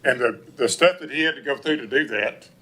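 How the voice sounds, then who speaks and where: A man's voice: medium pitch, fairly quick, bright, forceful tone. A man giving an earnest public comment at a school board meeting, recorded from a distance.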